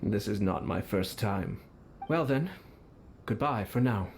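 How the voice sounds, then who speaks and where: An actor playing a courteous, calculating young nobleman in an anime video game, measured, polite and assured. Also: fairly low pitch, expressive, a little mellow, a little hiss or hum. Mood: calmly calculating.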